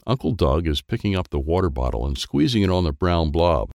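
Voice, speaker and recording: A man voicing an excitable, wistful small boy who narrates a children's storybook in simple, wide-eyed phrases. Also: low voice, brisk, gravelly, dry recording, clean studio sound, heavily acted. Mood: wide-eyed wonder. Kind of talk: first-person present-tense children's storybook narration.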